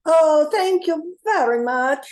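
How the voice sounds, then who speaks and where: A woman's voice: sits high, lively intonation, slow, darkish tone. A woman playing a polite, helpful storybook girl in an amateur radio play, with a reassuring manner.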